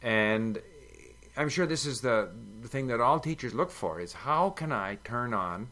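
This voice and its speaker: An older man talks in a bright, forceful voice that rises and falls a lot. He gives emphatic opinions in casual conversation, full of fillers and restarts. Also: medium pitch, expressive, a little slow. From Canada. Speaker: language learning guest.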